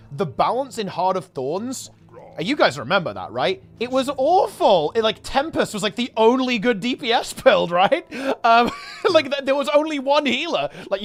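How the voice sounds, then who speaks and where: A man who streams games, chatting casually in a blunt, slangy, conversational way. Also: on the high side. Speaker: game streamer. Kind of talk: casual gaming chat.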